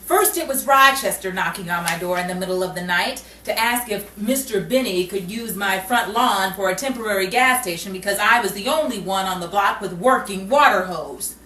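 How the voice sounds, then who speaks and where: A woman's voice: mid-range voice, normal speed, hardly any pauses, bright, forceful tone, close to an everyday voice. A woman playing a put-upon 1940s Hollywood woman, wisecracking with dry exasperation in a radio comedy style. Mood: put-upon complaining. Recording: roomy, distant mic with faint noise.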